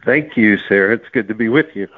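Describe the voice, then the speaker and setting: A man's voice: fairly low pitch, brisk, dry recording. Male interview guest, conversational storyteller, explanatory manner, dry narrowband audio. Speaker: author guest. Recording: narrow phone-like sound, dry.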